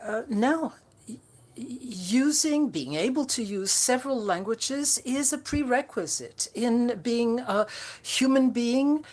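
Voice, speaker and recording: A woman interpreting a conference speech live, halting often with fillers and restarts. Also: on the high side, darkish tone. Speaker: simultaneous interpreter.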